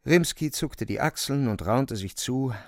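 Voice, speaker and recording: Male narrator, medium pitch, creaky, dry close sound, measured literary storytelling. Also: lively intonation, no echo. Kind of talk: literary narration in radio drama.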